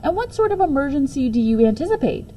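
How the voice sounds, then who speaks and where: Woman acting female primary teacher, firm yet kindly, measured, mellow, storybook dialogue, faint noise. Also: sits high, very animated, pitched above the performer's own voice. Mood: stern. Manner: measured, articulate classroom authority. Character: firm but kindly female second-grade schoolteacher.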